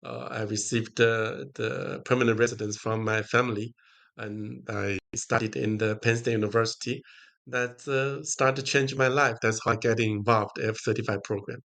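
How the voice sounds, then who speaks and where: Older man, Taiwanese accent, bright tense voice, medium pitch, halting phrasing, interview guest. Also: fairly even intonation, creaky. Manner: halting non-native English phrasing. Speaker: podcast guest, technology executive. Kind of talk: interview answers, personal storytelling.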